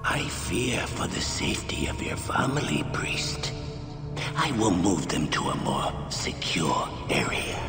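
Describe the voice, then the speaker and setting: An actor playing a menacing, fanatical, power-hungry villain of ancient Egypt in a video game, speaking in an ominous, grandiose way. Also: male voice, sits low, very animated, unhurried, full character voice. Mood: quiet threat. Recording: cavernous echo under loud music.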